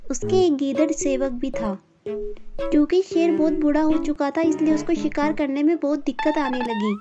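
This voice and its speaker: A woman with a high voice narrates a scripted fable as a storyteller. Music plays clearly behind her. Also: normal speed, lo-fi sound.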